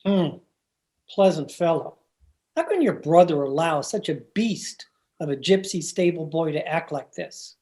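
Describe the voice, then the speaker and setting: A haughty, refined young gentleman of radio melodrama, played by a man. His genteel, snobbish speech swings widely in pitch. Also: animated, a little slow. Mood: disdainful. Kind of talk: old-time radio drama acting.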